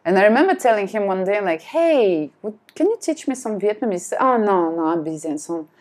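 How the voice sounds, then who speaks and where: A woman whose first language is Russian, chatting as a podcast guest in a lively, self-deprecating way. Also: mid-range voice, quick pace. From France. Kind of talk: casual chat.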